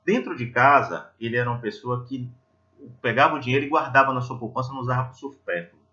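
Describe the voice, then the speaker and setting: Bright-voiced Brazilian man preaching, lo-fi sound. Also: medium pitch, fast. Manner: didactic, conversational, poses rhetorical questions to listeners. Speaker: lay preacher at a Spiritist centre. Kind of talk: religious talk with storytelling and reflective questions.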